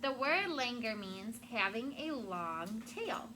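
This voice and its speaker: A woman teacher reading a story expressively to children and pausing to explain words simply, with noise behind her. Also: on the high side, very animated. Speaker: elementary school teacher. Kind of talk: read-aloud storytelling to children, with questions and vocabulary asides. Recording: loud background noise.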